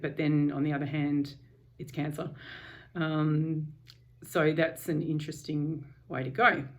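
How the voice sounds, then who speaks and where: A woman with a low voice speaks straight to her camera from home in a personal vlog. She stays calm and candid, pausing often and restarting her sentences. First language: English. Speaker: vlogger. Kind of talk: casual personal vlog update.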